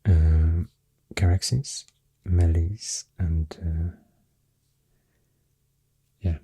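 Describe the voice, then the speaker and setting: A man with a Swedish accent, rambling softly and hesitantly in soothing ASMR, breathy and often near a whisper, close to the mic. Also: deep voice, dry recording. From Sweden. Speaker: ASMR creator. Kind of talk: soft-spoken ASMR rambling. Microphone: close microphone in a dry space.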